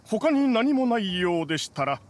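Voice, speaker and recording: A sheepish ancient immortal from a fantasy game. He speaks formally and stiffly in a high male voice, with quiet music underneath. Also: very animated, moderate pace. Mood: evasive, flustered. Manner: stiffly polite, formal, explanatory. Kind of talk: dramatic game voice acting.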